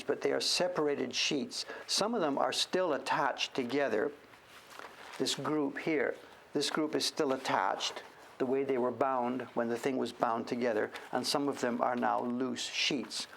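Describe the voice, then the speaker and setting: A man's voice: medium pitch, gravelly, a little hiss or hum. A middle-aged man hosting a show-and-tell, chatting casually in a rambling, self-deprecating way. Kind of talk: casual livestream chat.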